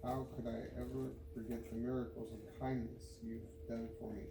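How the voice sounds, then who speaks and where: A roomy recording, some way from the mic, with clear background noise. A man reads a psalm aloud in a very even, level tone. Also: mid-range voice, normal speed, far from the mic. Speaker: scripture reader.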